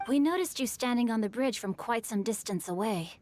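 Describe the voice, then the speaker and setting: A woman's voice: fairly high pitch, expressive, a little fast, a little mellow, slight background noise, natural voice. A woman voicing a polite, bookish, well-bred teenage boy in a video game, speaking formally and eloquently. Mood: curious. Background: game music.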